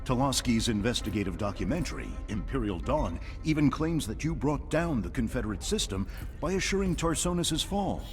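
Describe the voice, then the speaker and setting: A man voicing a news interviewer in a sci-fi video game, pressing with formal, pointed questions. His voice moves lively up and down over faint music. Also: mid-range voice, fairly quick, close to an everyday voice. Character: probing male news interviewer.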